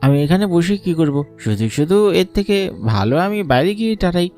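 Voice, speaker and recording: A man's voice: high-pitched, sing-song, fast talker, mellow. Man voicing a coaxing village school peon. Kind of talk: dubbed horror cartoon dialogue. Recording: music under the voice.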